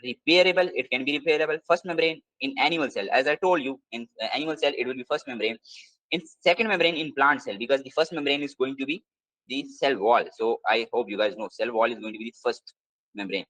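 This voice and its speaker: A man's voice: medium pitch, quick pace, pushed and bright, no room sound, poor audio. A man giving a live online biology lecture from his desk. He drills each point by repeating it, and his English phrasing is slightly non-native. His computer mic sounds dry and close, with no room sound at all. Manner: repetitive, drilling key points. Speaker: exam prep tutor.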